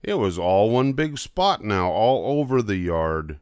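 An older man reading a rhyming children's story aloud as an expressive narrator. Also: lowish voice, unhurried, clean tone, no room sound, clean studio sound. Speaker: storybook reader.

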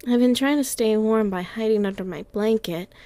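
A woman's voice: fairly high pitch, dry recording, close to an everyday voice. A woman voicing a playful, clingy young catgirl girlfriend in an ASMR roleplay, affectionate and cooing, close to the mic. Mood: cosy, affectionate. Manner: soft, affectionate, slow with long pauses, cooing.

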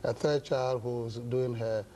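Ghanaian guy, middle aged pastor, warm dark voice, picks his words slowly in an interview, bit of background noise. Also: medium pitch, fairly even intonation. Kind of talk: studio interview, measured explanation. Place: indoor television studio set. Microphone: lapel mic.

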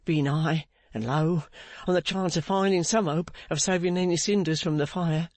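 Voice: medium pitch, moderate pace, darkish tone, no echo, close to an everyday voice. A reader voicing a frantic, remorseful man in an audiobook, exclaiming and pleading deferentially as he punishes himself. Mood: despairing. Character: frantic remorseful man, agitated, self-punishing, begging for reproach. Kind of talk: audiobook character acting.

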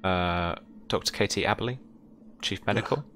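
A man's voice: fairly low pitch, normal speed, a bit bright, faint music behind. A young man running a tabletop game, casually explaining rules and details as game master.

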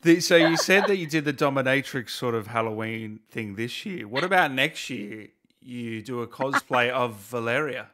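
A man's voice: mid-range voice, animated, moderate pace, hardly any pauses, a bit bright. A man hosting a gaming livestream, asking a guest easygoing questions and encouraging her. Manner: easygoing, encouraging, laughs along. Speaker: gaming stream host. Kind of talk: casual livestream interview.

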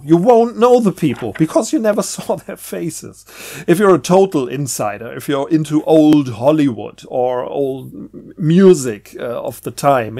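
A man giving a casual, unscripted vlog monologue as he presents a book, rambling and halting, often trailing off and rephrasing. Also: on the high side, creaky, warm tone.

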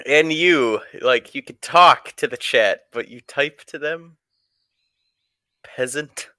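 Male streamer, unhurried delivery, clean smooth voice, casual storytelling, coarse language full of swearing. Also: medium pitch, sharp tone, no echo. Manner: crude, heavy swearing. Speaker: streamer telling stories. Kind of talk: casual storytelling to friends and chat.

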